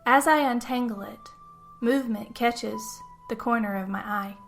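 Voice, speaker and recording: A woman with a fairly high voice reads a scripted tale as its storyteller. She narrates in the first person in a dramatic storytelling style. Music plays faintly behind her. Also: moderate pace, vocal fry. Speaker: podcast host and storyteller.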